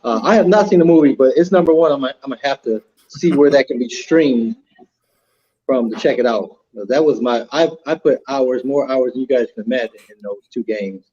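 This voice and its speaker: Middle-aged man, mellow voice, halting and hesitant, dull lo-fi mic sound. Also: mid-range voice, slightly rough. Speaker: panelist. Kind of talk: casual live-stream chat.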